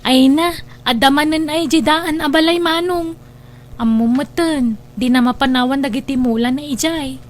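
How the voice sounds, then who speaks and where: A woman playing a dutiful, affectionate younger sister in an Ilocano radio drama, with expressive conversational delivery. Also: sits high, moderate pace, close to an everyday voice. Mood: earnest.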